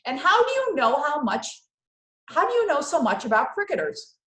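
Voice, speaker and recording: A woman's voice: high voice, sing-song, moderate pace, a bit bright, roomy sound, voice pushed up. A woman voicing an exasperated, scornful mother in a children's storybook, scolding with emphatic delivery. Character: scornful, exasperated mother who detests bugs.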